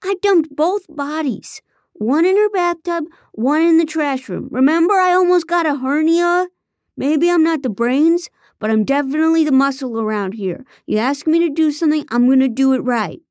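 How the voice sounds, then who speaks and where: A woman's voice: a little high, steady tone, moderate pace, few pauses, darkish tone, no echo, studio quality, pitched above the performer's own voice, natural voice. A woman voicing a dim, boastful muscle-bound lackey. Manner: whiny, insistent boasting.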